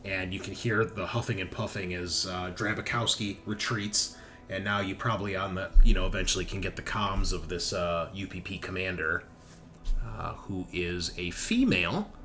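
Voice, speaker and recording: A man's voice: sits low, moderate pace, sharp tone. A man narrating a tabletop roleplaying game as game master, with casual, off-the-cuff storytelling.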